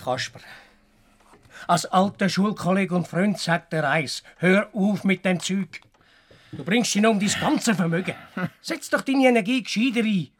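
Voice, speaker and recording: A man's voice: sits high, measured pace. A man acting an exasperated, pragmatic village mayor with a Swiss German accent in a radio play. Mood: weary exasperation. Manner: admonishing, dismissive. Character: exasperated, pragmatic village mayor, farmer's old school friend.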